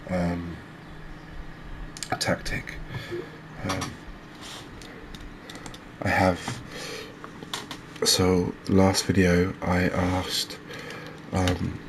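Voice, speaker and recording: A soft-spoken man giving relaxed, unhurried ASMR commentary on a video game, speaking close to the mic. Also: deep voice. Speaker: gaming video creator. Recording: clear background noise, ambience.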